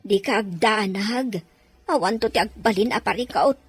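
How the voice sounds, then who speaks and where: A woman's voice: mid-range voice, moderate pace, breathy edge, lightly acted. A woman acting as a timid, submissive nanny in a drama, hesitant and fearful of her mistress. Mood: fearful, anxious. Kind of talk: Ilocano radio-style drama acting.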